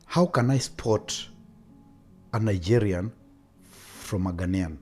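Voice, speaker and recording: A man's voice: fairly low pitch, slow, darkish tone, faint music behind. A man interviewing someone in a casual chat, often interjecting and repeating answers back.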